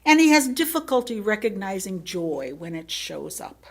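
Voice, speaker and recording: A woman reading a prepared sermon as a lay speaker, in a reflective manner with rhetorical questions and deliberate pauses. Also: mid-range voice, big pitch swings. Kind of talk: sermon read from a prepared text.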